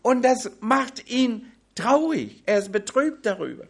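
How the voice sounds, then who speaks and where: A man preaching a sermon on a Bible passage in a language that is not his first, with slow, emphatic delivery that drops into quiet asides. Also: very high-pitched, big pitch swings, vocal fry. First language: not German.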